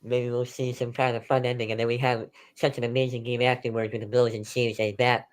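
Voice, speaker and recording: A man's voice: medium pitch, little pitch movement, brisk. A man hosting an amateur live football stream, calling the plays in a conversational, informal way. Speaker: play-by-play host of an online sports stream.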